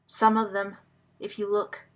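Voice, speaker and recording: Slow, deliberate woman speaking earnestly. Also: mid-range voice, completely flat delivery. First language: Hungarian. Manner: halting, deliberate phrasing with pauses. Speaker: vlogger addressing the public.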